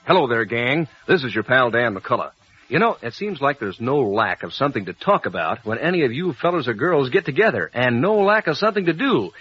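Lively, punchy American radio pitchman. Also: husky. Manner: punchy, folksy, enthusiastic salesman delivery. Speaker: radio announcer and sponsor pitchman. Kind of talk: 1940s radio advertising for children. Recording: dull old radio recording.

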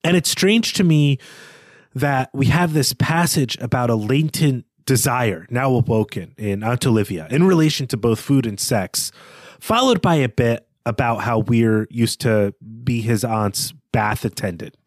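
A man speaks as a podcast co-host doing literary analysis. His pitch sits in a middle range and the sound is dry. His phrasing is bookish and reflective, articulate but conversational. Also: animated, unhurried, no room sound.

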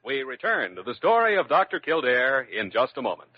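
A man's voice: medium pitch, very animated, a little fast, slightly rough, dry recording. A male announcer introducing a 1940s radio drama in a formal, resonant delivery. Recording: lo-fi old radio broadcast over music.

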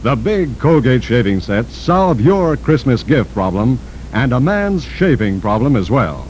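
A man's voice: sing-song, measured pace, a little mellow, low-quality recording. An American man reading scripted advertising copy as a 1940s radio announcer. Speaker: commercial announcer. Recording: vintage radio broadcast, rumbling noise.

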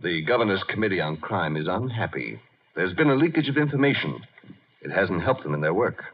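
Casting: polite, menacing gangster, low swooping male voice, measured delivery, old-time radio. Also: animated. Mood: suavely menacing. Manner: measured, polite, veiled threat. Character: smooth, pretentious, quietly menacing male crime boss. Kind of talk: 1950s radio crime drama acting. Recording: dull vintage radio broadcast recording.

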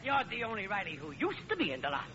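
Stern American lodge brother, very high animated voice, sarcastic, accusing, old radio comedy. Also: male voice, fast, a crowd in the background. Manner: accusing, sarcastic, rough grammar. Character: stern, sarcastic male lodge chairman, working-class American. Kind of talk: 1940s radio comedy acting. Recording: muffled old radio broadcast with hiss.

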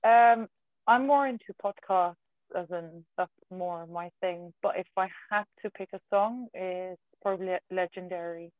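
A woman with a husky voice, a guest on a video call, answering shyly and hesitantly. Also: fairly low pitch, moderate pace, no echo. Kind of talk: casual chat over video call. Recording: dull lo-fi call audio.

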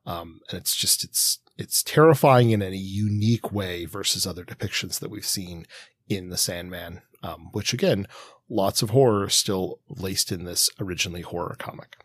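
A man co-hosting a podcast, discussing books casually in hesitant, halting phrases. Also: low-pitched, slightly rough. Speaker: podcast co-host.

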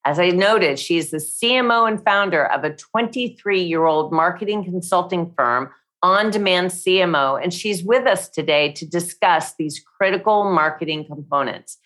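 A woman with a somewhat low voice hosts an interview. She reads her introduction in a polished, measured way and praises her guest warmly and effusively. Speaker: host. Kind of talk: interview hosting with a read introduction.

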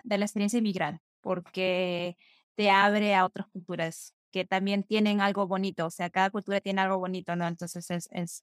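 A woman's voice: medium pitch, no echo, studio quality. A woman from Peru with a Mexican-tinged Spanish accent who hosts the interview, chatting and asking questions in a friendly, sing-song way. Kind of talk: conversational interview.

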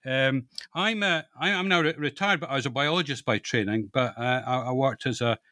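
Older man, Scottish accent, interview guest, informal, hesitant with repeated words, medium pitch, dry close recording. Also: pushed and bright, no room sound. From Scotland. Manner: hesitant, halting, often repeating words as he thinks. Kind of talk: informal explanatory interview talk.

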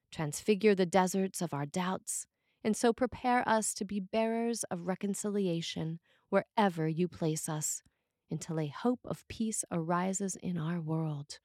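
A woman priest reading a scripted sermon in measured, deliberate phrases. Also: fairly low pitch, dark tone, no echo, studio quality.

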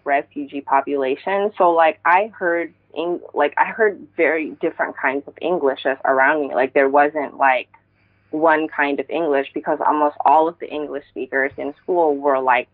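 A poet in a casual interview, a woman from Indonesia, talking reflectively with many fillers and frequent laughs. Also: sits low. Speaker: poet, guest. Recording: tinny phone-like line.

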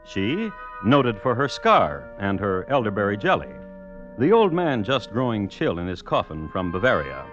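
A man's voice: on the low side, sing-song, normal speed, a bit bright. A man playing a droll, mock-solemn narrator of macabre tales in an old radio drama. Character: wry male storyteller of macabre tales. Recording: muffled vintage radio recording. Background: music.

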